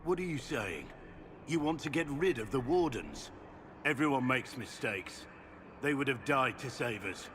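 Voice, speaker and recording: A man's voice: medium pitch, expressive, measured pace, long pauses, a bit bright, a little hiss or hum. An actor playing a troubled warrior in a fantasy game, standing up for his comrades. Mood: defensive. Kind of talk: dramatic video game acting.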